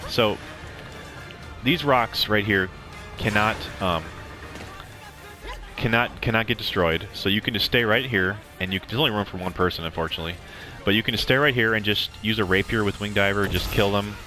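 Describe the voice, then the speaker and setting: A man's voice: on the low side, moderate pace, sharp tone. A young man giving casual, rambling commentary over a game walkthrough, with plenty of ums and restarts. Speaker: gameplay commentator. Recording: game music playing under the voice. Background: game music.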